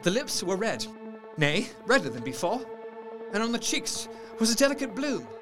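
Animated, troubled gentleman diarist over music. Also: sits high, moderate pace. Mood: uneasy, dismayed. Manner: intense, dramatic, formal literary diction. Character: troubled, sceptical gentleman doctor recounting horrors in his diary. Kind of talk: dramatised first-person diary narration. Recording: music under the voice.

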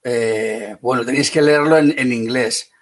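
A man from Spain with a Spanish accent teaching an online class, brisk and teasing, calling on students by name. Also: medium pitch.

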